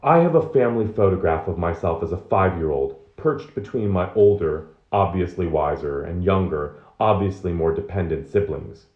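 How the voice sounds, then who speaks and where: A man's voice: low-pitched, expressive, normal speed, few pauses, dull audio. A man reading nonfiction aloud as narration, in a roomy, echoing recording. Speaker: reader of a book excerpt.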